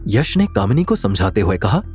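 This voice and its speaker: A man's voice: on the low side, sing-song, normal speed, a little mellow, faint music behind. A man narrating a scripted audio drama in a dramatic storytelling style.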